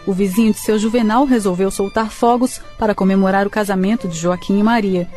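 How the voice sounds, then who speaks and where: A woman's voice: medium pitch, steady tone, brisk, darkish tone, soft background music. A woman with a Brazilian accent narrating a scripted radio drama.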